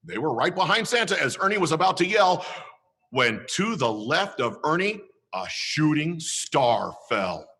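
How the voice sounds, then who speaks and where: Santa storyteller for children, unhurried and bright, expressive reading. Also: male voice, slightly rough, big-room sound. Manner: slow, highly expressive.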